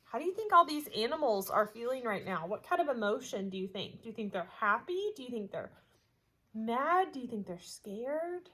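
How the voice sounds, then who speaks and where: A woman's voice: fairly high pitch, normal speed, a little hiss or hum. A woman teaching young children, reading a picture book expressively and talking to them with questions. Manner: asks listeners questions, animated storytelling delivery.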